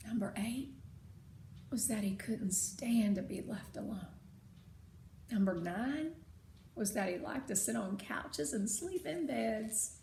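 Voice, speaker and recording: A woman narrating a children's story expressively, with deliberate, dramatic phrasing. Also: a little high, gravelly. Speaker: read-aloud narrator. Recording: roomy sound with faint noise.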